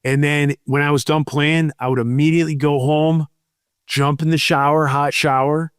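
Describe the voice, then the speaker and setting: A man's voice: a little high, little pitch movement, normal speed, clean tone, no room sound. Man, thirties, podcast co-host, rambling casual storytelling, dry close sound.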